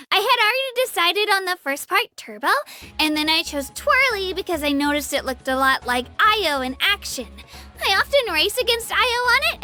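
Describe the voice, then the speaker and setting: A young girl miner from a video game, with a very high, bright voice. She is timid but eager, sweet and earnest, and easily flustered into little stammers. Soft music sits behind her. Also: exaggerated voice. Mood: proud, chatty. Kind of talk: animated game character voice lines.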